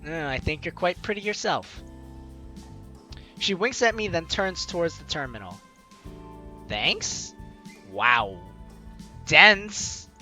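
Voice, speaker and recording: A man's voice: on the high side, very animated, slow, pauses often, pushed and bright. A young man hosting a let's play, reading game text aloud with informal, jokey asides. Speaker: host of a gaming let's play series. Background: game music.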